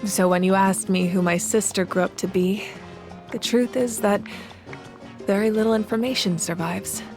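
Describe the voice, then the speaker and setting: A woman as a calm, resolute ruler. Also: mid-range voice, lightly acted. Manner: measured, composed, thoughtful. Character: adult female ruler of a land, resolute and calm. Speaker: ruling Archon. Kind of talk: video game voice acting. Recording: game audio with faint music behind.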